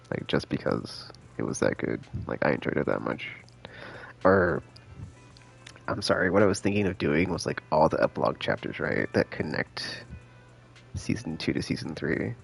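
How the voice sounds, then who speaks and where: A man chatting casually on a live game stream in a laid-back, slangy, rambling way, thinking out loud, with a gravelly voice. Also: very deep voice. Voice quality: gravelly, creaky.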